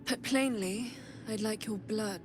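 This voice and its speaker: A woman playing an eerie, imperious blood trader with morbid curiosity in a video game, speaking in a measured, silky, haughty way. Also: mid-range voice, background noise, close to an everyday voice. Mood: silky, intrigued. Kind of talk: dramatic video game acting.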